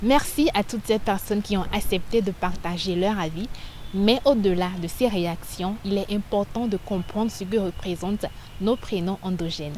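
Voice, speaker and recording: A woman TV presenter, delivering a scripted introduction in a clear, measured way. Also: mid-range voice, darkish tone, faint music behind.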